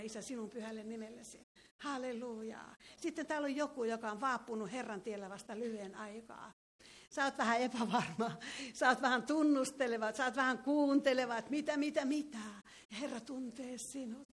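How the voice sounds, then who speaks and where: A woman leading a fervent charismatic prayer and prophecy in a meeting hall, breaking into tongues between phrases. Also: on the high side. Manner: fervent, prays with tongues interjected. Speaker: preacher.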